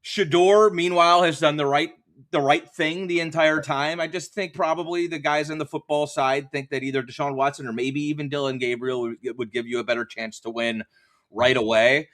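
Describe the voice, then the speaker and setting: A man's voice: a little high, flat delivery, moderate pace, a bit bright. A man who works as a play-by-play announcer, chatting casually about sports as an interview guest in a wry, jokey way.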